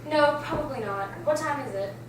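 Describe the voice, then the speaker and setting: A woman's voice: high-pitched, normal speed, natural voice. A woman reading the part of an easygoing big sister and new mother in an amateur script table read. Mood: casual. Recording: very echoey room, distant mic, clear background noise.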